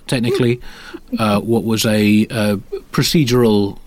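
A man hosting a satirical comedy chat in a deadpan, hesitant way. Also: lowish voice, a little slow, dry recording. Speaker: comedy podcast host.

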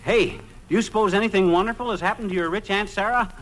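An actor playing a blustery, stubborn middle-aged husband in an old American radio comedy, with an American accent and a folksy, grumbling, exclamatory delivery. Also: male voice, sits high, fast talker, a bit bright. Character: blustery, accident-prone, stubborn husband, comic and excitable. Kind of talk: 1940s-50s American radio comedy acting. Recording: noisy vintage radio recording.

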